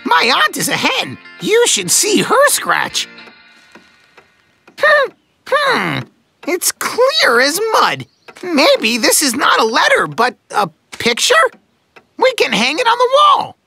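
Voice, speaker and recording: An excitable little cartoon woodpecker, squeals in an extremely high, piercing voice that swoops wildly up and down. The delivery is theatrical and opinionated, stretching words for comic drama. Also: male voice, creaky, soft background music, exaggerated voice. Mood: curious, boastful. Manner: exclamatory, theatrical, drawn-out words. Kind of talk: children's animated series voice acting.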